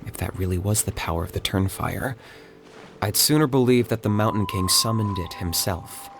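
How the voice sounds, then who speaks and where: An actor voicing a calm, reserved young warrior in a video game, speaking in a measured, dry way. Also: male voice, fairly low pitch, creaky, noisy background. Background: game music.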